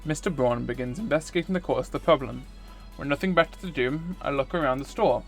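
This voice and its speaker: A man's voice: mid-range voice, quick pace, soft background music. A man streaming a visual novel, reading its narration aloud. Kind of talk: scripted narration read aloud.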